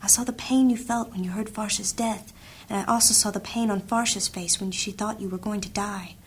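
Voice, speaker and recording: An actress playing a gentle, earnest young princess who is a healer, speaking softly and urgently in a fantasy audio drama. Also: female voice, mid-range voice, fairly even intonation, brisk, slightly rough, close to an everyday voice. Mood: pleading whisper. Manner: soft, urgent, often hushed.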